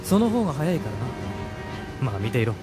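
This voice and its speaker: An actor playing a confident, ruthless security police major in an audio drama, leisurely, smug and commanding. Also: male voice, a little high, very animated, fast talker. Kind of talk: Japanese audio drama acting. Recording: loud music behind.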